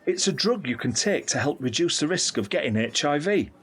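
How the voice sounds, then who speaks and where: An actor playing a candid, caring middle-aged uncle in an audio drama, speaking in an earnest, reflective, explanatory way. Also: male voice, fairly high pitch, animated, brisk, a bit bright. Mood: hopeful, informative. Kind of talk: scripted audio drama dialogue.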